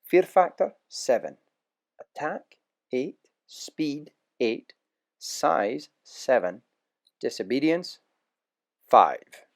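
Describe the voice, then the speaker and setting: A man narrating a children's story aloud in an expressive, unhurried way with dramatic pauses. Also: mid-range voice, gravelly.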